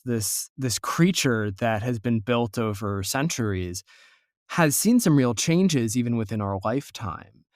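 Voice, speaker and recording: A man hosting an interview, speaking conversationally in a reflective, measured way. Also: mid-range voice, no echo, clean studio sound. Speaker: podcast host, interviewer.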